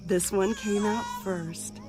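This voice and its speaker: A woman's voice: mid-range voice, steady tone, takes it slowly, lightly acted. A woman playing an amazed midwife in a dramatized audio Bible, speaking expressively over music. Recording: music under the voice.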